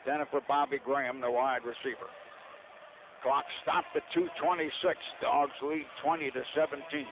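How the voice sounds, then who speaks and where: A man's voice: medium pitch, fairly even intonation, normal speed. A man calling American football play-by-play live on the radio, rooting for his team in short, clipped phrases. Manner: partisan, clipped fragmentary phrases. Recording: lo-fi radio broadcast, narrow band, loud noise.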